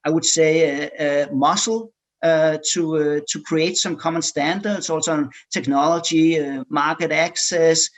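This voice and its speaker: A man from Denmark, speaking as a panelist in a policy discussion, pausing often with filler sounds and repeated words. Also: a little high, fairly even intonation.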